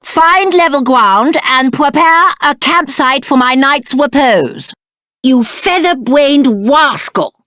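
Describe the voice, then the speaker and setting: A man's voice: extremely high pitch, expressive, slow, pushed and bright, no echo, clean studio sound, heavily acted. A male actor playing a spoiled, cowardly young prince in a video game, with a British accent and haughty, whiny, aristocratic diction. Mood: haughty, scolding. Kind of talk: theatrical video game character acting.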